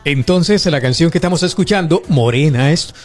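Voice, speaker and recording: A man hosting a conversational interview, courteous and measured, pausing often as he frames his questions. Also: on the high side, expressive, faint music behind. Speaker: radio or show interviewer.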